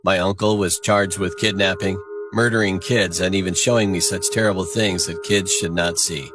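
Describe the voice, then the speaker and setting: A man's voice: low-pitched, fairly even intonation, moderate pace, music in the background. A man narrating a scripted first-person crime story.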